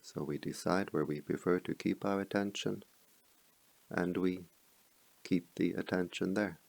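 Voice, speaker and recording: A man leading a guided meditation as a teacher, speaking in slow, measured phrases with pauses between them. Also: deep voice, steady tone, creaky, darkish tone, dry recording.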